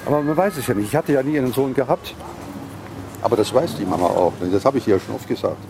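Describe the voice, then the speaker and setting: A man in his seventies, a father being interviewed, talking in a halting, reflective, unscripted way. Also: medium pitch, expressive, background noise. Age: 70s. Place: moving car.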